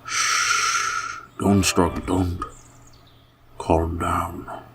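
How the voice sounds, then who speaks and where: A man voicing a gentle, grieving fatherly monster king, soothing and calm, in a fan dramatic reading with music behind him. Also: low voice, extremely slow, very noisy. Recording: music bed with birdsong behind.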